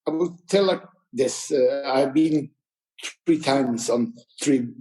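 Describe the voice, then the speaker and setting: A man from Croatia with a Croatian accent, a tired guest coach speaking haltingly on a video call. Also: mid-range voice, warm tone, a little hiss or hum, low-quality recording. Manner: halting, many fillers and restarts. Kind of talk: casual conversation.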